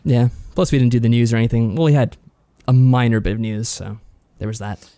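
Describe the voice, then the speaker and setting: A man co-hosting a podcast in a casual review chat, speaking in an agreeable, measured way. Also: medium pitch, steady tone, dark tone, no room sound. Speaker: podcast co-host.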